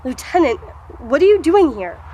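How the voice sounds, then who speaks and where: A woman's voice: sits high, very animated, moderate pace, mellow, dull audio, a little hiss or hum. An actress playing an irritable female researcher in a radio drama, who talks back sharply, indignant and cursing.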